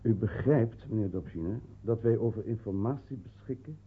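An actor playing a courteous, grave consulate official in a radio drama, speaking formally and with measured emphasis. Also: male voice, on the low side, breathy edge. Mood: grave, insistent. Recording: muffled, phone-like old radio recording.

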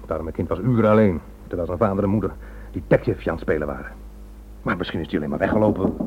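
An actor in a Dutch radio drama playing an evasive, defensive police inspector. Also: male voice, low-pitched, fast talker, close to an everyday voice. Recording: dull, noisy old radio recording with hum.

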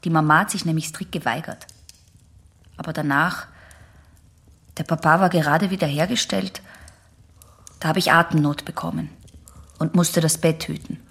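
Sullen young patient in a radio play, deep for a girl, raspy, skeptical and short. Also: steady tone, normal speed, pauses often, fairly bright tone, exaggerated voice. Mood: guarded. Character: guarded, defiant eighteen-year-old female patient. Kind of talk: German radio drama dialogue.

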